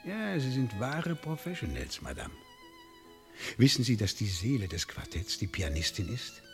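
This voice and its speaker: An actor playing a cultured, chatty gentleman spa guest in a radio play, urbane and musing. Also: medium pitch, wildly swooping pitch, moderate pace. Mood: admiring, chatty. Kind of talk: 1970s German radio play acting. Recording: string music playing behind. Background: bowed string music.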